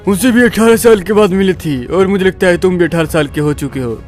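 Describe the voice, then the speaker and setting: A man's voice: sits high, brisk, faint music behind, natural voice. A man playing an earnest older family friend, fatherly and insistent, in a melodramatic story. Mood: earnest, urging. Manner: pleading, insistent.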